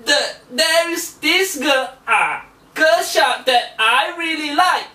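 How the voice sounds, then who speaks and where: A woman's voice: sits high, expressive, takes it slowly, pushed and bright, heavily acted. A woman voicing a naive, easily confused young fish puppet, hesitant and full of questions, in a children's show. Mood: hesitant, dismayed. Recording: roomy echo, distant microphone.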